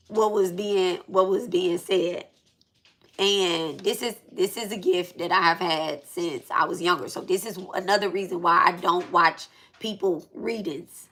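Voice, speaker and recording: Bright-voiced, blunt woman in her thirties. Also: fairly low pitch. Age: 30s. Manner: blunt, emphatic, repetitive, profane. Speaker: spiritual reader and channel host. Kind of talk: casual venting vlog talk.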